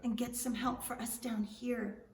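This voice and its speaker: A woman voicing an urgent trickster man in a storybook, pleading and flattering in a shaky, straining, breathless way. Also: extremely high pitch, a little slow, creaky, exaggerated voice. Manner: pleading, flattering, near yelling. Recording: roomy, distant mic, light noise.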